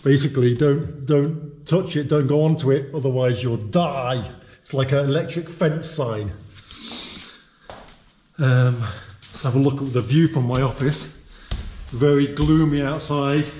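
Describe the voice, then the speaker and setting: A British man talks casually into a handheld camera while walking around his office. His voice sits at an ordinary middle pitch, and he rambles with plenty of fillers and restarts. The room around him is echoey. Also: warm tone, muffled recording, a little hiss or hum. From United Kingdom. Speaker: vlogger. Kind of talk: casual walking-tour vlog. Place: his own indoor office and studio. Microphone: handheld camera mic.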